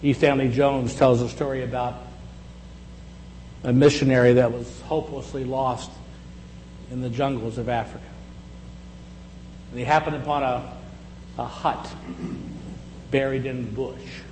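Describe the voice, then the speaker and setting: A man in his sixties preaching a reflective, conversational sermon, slowing down to stress key words. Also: medium pitch, steady tone, a little mellow. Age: 60s. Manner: reflective, conversational, with slow emphatic pauses. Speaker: pastor. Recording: echoey hall with faint hum.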